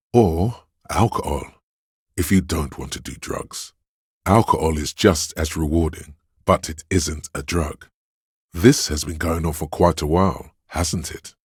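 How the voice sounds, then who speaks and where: A man's voice: no room sound, studio quality, full character voice. A man voicing a video game character, a sleazy, hedonistic inner voice that craves drugs and thrills, insinuating and savoring its words theatrically. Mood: tempting, craving. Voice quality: very deep, gravelly, husky.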